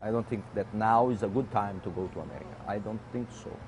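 A man's voice: on the low side, quick pace, darkish tone, soft background music, rough recording. A man in his fifties with a Brazilian accent, a native Portuguese speaker, answering questions in hesitant English. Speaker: restaurant owner. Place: small restaurant indoors.

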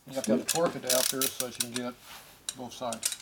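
A man's voice: medium pitch, flat delivery, fast, very noisy. A middle-aged man, a machinist, explaining engine assembly in a bluntly offhand way while working at a shop bench, recorded on a nearby camera. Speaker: machinist and mechanic. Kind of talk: hands-on shop demonstration. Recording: shop clatter of tools behind.

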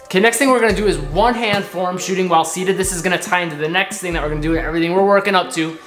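A man's voice: sits high, rapid-fire, fairly bright tone. Man, 30s, upbeat basketball coach, living room, echoey, faint background music. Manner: upbeat, demonstrating drills with a ball while seated. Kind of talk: instructional drill demo. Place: living room, seated on couch.

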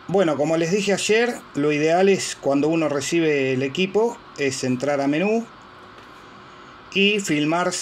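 Slow-paced Argentine man, slightly high voice. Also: a little mellow. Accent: Argentine Spanish. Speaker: amateur radio operator and presenter. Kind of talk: informal equipment demonstration and radio contacts. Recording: clear background noise, handheld phone video.

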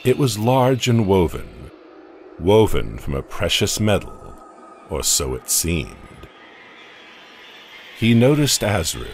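Male horror narrator, very low and lively in pitch, deliberate delivery. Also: a little hiss or hum. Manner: slow, deliberate, dramatic.

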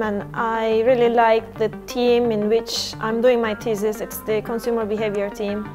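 High-voiced young woman. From Turkey. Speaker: PhD student giving a lab tour. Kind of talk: guided tour talk. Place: indoor research lab rooms. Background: background music.

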